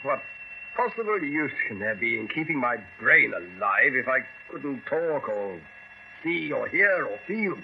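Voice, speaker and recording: An actor playing a dying, melancholy British gentleman in a 1970s radio drama, measured, dry and sceptical. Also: medium pitch, very animated, gravelly. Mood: appalled. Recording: muffled, noisy old radio broadcast.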